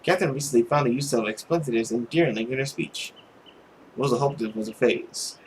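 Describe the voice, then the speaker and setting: A let's player reading a visual novel aloud with expressive narration and casual asides. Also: medium pitch, fairly quick, low-quality recording. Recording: faint background noise.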